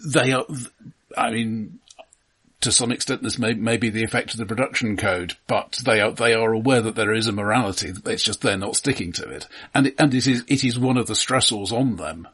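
A man with a low, husky voice, talking through a film in relaxed podcast conversation. He is thoughtful and often stumbles, repeating his first words before getting to the point. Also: brisk. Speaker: podcast co-host. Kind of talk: casual film discussion.

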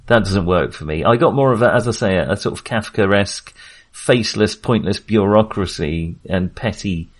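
A man with a fairly low voice takes part in a casual discussion of film. He speaks haltingly, searching for the right word and often starting a phrase again in new terms. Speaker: film podcast host.

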